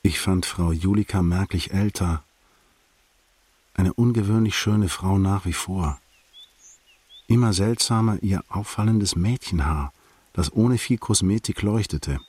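An actor playing a reflective male prosecutor, narrating a literary radio drama in a measured, detached, observant way. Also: deep voice, a little mellow, slightly rough. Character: reflective male state prosecutor recounting a visit to friends.

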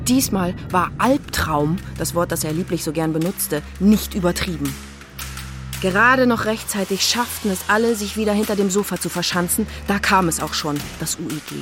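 A woman's voice: on the low side, normal speed, music in the background. A woman narrating a scripted children's story in a dramatic, suspenseful way.

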